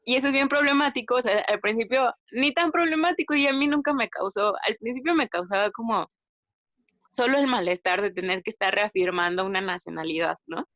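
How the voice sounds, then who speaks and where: A woman from Mexico with a Mexican accent, chatting casually as a podcast guest, retelling anecdotes in an animated way with slang and quoted dialogue. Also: a little high, a little fast, dry recording. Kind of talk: casual conversational storytelling.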